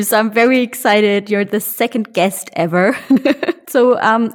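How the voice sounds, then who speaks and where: A woman hosts a career podcast. She sounds upbeat and friendly and laughs lightly between her questions. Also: medium pitch. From Germany. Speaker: podcast host.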